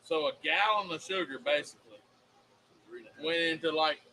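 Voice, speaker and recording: A man's voice: a little high, normal speed, pushed and bright, rough recording. A man with a Southern US accent, co-hosting a casual chat and telling rambling, folksy stories. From American South. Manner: folksy, drawling, rambling storyteller. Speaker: co-host.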